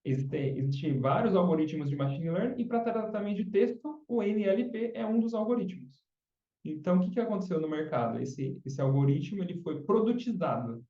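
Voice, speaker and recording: A man's voice: a little high, mellow. Man explaining from a distance in a roomy space. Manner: explains patiently, often adds tag questions. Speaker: podcast guest, chatbot specialist. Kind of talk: casual explanatory interview talk. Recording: dull, roomy sound from off mic.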